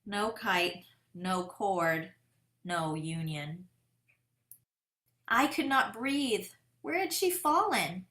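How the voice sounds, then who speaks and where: A woman voicing an eager, determined boy who tells his own kite-flying tale in a dramatic, deliberate picture-book read-aloud. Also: mid-range voice, animated, pushed and bright, heavily acted. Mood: tense, dramatic. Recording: roomy, echoing sound.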